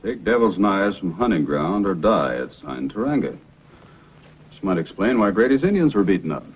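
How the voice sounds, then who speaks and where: A man acting a calm, authoritative Mountie sergeant in an old-time radio adventure, speaking firmly and deliberately. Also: deep voice, slightly rough, dull audio, exaggerated voice. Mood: explaining, stern. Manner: deliberate, firm, commanding. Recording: old band-limited radio recording, music.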